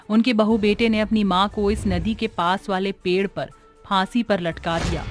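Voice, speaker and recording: A woman reading scripted horror storytelling narration as the story's narrator. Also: mid-range voice. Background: background music.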